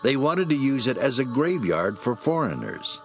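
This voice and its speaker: A man's voice: mid-range voice, sing-song, normal speed, faint music behind. A man narrating scripture as a scripted dramatic reading.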